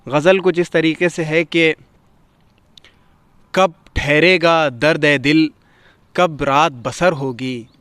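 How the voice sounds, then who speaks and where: A man hosting a poetry gathering, reciting verse and commenting on it in a measured, expressive, literary way. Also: on the high side, clear, resonant tone, no echo.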